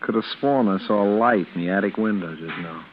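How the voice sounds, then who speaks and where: Actor doing low voiced curious guy, bit uneasy, tinny old radio play. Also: quick pace, a little hiss or hum, natural voice. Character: curious, uneasy man out walking with a companion. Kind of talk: 1940s radio drama acting.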